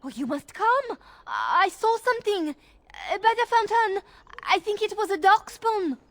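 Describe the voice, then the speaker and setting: An actress playing an anxious, scheming elven handmaid in a video game. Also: female voice, extremely high pitch, big pitch swings, unhurried, a bit bright, full character voice. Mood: feigned panic. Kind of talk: video game cutscene acting.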